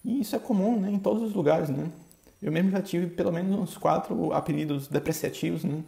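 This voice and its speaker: A man from Bahia with a Bahian accent, hosting a podcast in a halting, casual monologue full of filler tags. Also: a little high, creaky, mellow. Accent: Bahian Brazilian Portuguese. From Bahia, Brazil. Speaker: podcast host. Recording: echoey room.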